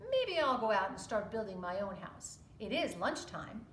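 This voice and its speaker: A woman's voice: medium pitch, big pitch swings, normal speed, echoey room, slight background noise, close to an everyday voice. A woman voicing the middle male pig in a storybook tale, musing aloud in a plain voice.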